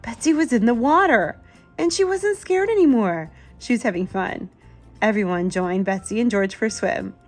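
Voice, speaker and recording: A woman's voice: on the high side, lively intonation, normal speed, faint music behind. A woman reading a children's picture book aloud expressively, with simple asides to a young listener. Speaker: children's book reader, channel host.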